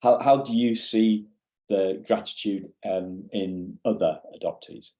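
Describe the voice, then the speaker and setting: A man hosting a podcast interview in a relaxed, mellow and fairly low voice. He speaks slowly and haltingly, often repeating words as he feels out a question. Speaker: podcast host. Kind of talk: conversational interview.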